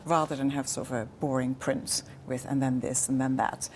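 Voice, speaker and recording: A woman with a very low-pitched voice hosts a relaxed, conversational interview. She is attentive and keeps adding small words of agreement. A little room noise sits behind her. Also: normal speed. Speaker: interviewer, host. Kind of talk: casual chat interview.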